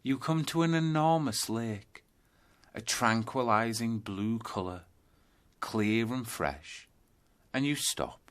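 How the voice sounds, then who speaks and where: A man narrating a scripted guided yoga nidra meditation, telling a journey in measured second-person storytelling. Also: medium pitch, expressive, a bit bright.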